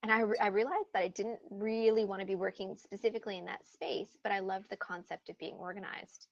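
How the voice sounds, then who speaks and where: A woman with an American accent, calling in as a guest and explaining things in a relaxed, conversational way. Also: medium pitch, brisk, no echo. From upstate New York. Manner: relaxed, conversational, frequent ums and "you know". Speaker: guest speaker. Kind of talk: conversational interview answer. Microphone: call-in line. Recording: dull dry remote call line.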